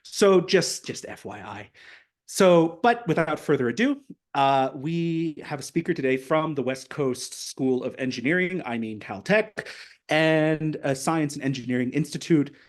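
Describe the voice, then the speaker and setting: A man's voice: on the high side, animated, a little slow. A man in his thirties moderating an online science event, chatty with teasing asides.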